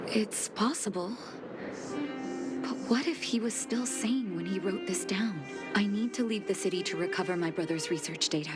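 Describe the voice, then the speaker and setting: An actress voicing a composed, determined woman who is a doctor and leader, speaking in a measured, thoughtful way with soft sighs, with game music behind her. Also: medium pitch, natural voice. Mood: resolute. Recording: studio voice-over with game music.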